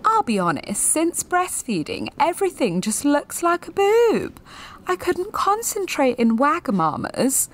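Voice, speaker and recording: An actress voicing a frank, chatty yummy mummy with a breezy, confiding manner in an audio drama. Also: female voice, high voice, wildly swooping pitch, measured pace, pitched above the performer's own voice, exaggerated voice.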